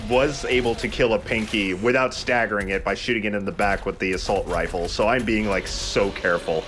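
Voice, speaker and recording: Low-voiced man joking over a game. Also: steady tone, fairly quick, a bit bright, soft background music. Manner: joking, profane. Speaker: let's play host playing a video game. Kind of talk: casual gaming commentary with banter.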